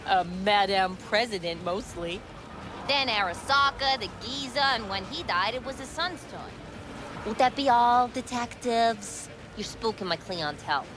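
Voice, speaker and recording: An actress playing a sarcastic, foul-mouthed market food vendor in a video game, blunt and slangy. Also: female voice, on the high side, lively intonation, unhurried. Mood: curt, dismissive. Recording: music behind the voice.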